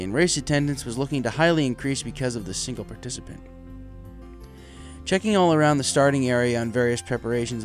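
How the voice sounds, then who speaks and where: Male story narrator over faint music. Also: mid-range voice. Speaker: story reader.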